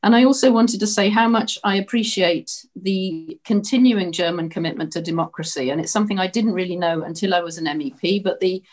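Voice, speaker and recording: A woman from Britain with a notably low voice speaks as co-host of an online panel. She is earnest and outspoken. Her voice comes through a video call. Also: a little fast, few pauses. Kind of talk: welcoming remarks in an online discussion.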